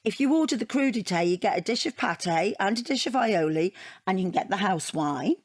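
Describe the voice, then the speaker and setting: An actress playing a chatty, defensive middle-aged British divorcee in an audio comedy drama, rambling and self-justifying with a wry edge. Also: female voice, medium pitch, animated, brisk, no echo, clean studio sound, lightly acted. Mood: breezy, wry.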